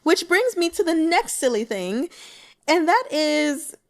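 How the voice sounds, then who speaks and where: Animated, giggly woman chatting. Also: high voice, unhurried. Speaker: audiobook reader and book commentator. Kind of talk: casual book discussion with notes.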